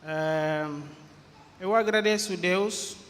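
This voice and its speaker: A man in his forties from Guinea-Bissau with a Guinea-Bissau Portuguese accent, a visiting pastor greeting a congregation and reading scripture, unhurried and often adding a questioning tag. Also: sits high, fairly bright tone. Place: church hall. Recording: reverberant church hall with faint ambient noise.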